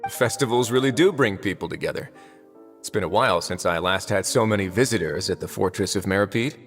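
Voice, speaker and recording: An actor playing a wry, unhurried man who runs a prison fortress in a video game, with a dry, teasing delivery. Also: lowish voice, a bit bright. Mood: wry, relaxed. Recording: long reverb, music underneath.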